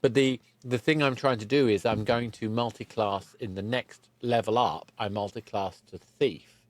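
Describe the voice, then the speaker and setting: A man's voice: on the low side, steady tone, normal speed, dry recording. A man hosting a podcast, explaining things conversationally and repeating words for emphasis. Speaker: podcast host.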